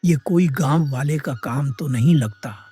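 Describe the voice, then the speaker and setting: A deliberate, authoritative village headman, acted by a man in a moral story. His voice is slightly high and quite husky, with music playing behind him. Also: dark tone. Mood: pondering, resolute. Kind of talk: animated moral story dialogue.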